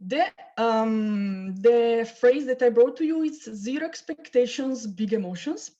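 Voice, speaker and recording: A woman's voice: a little high, measured pace, dark tone, lo-fi sound. A woman, hosting an onboarding call in a chatty, informal way and laughing often. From Italy. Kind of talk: casual online presentation. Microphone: video call.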